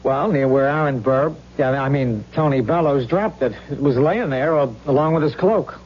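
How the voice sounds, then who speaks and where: Actor as a hesitant underling on muffled radio. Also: male voice, medium pitch, moderate pace, lightly acted. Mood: hesitant, obliging. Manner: hesitant, folksy, eager to comply. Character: hesitant, deferential underling reporting to a lieutenant. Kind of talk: 1970s radio drama acting. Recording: dull, noisy old radio recording.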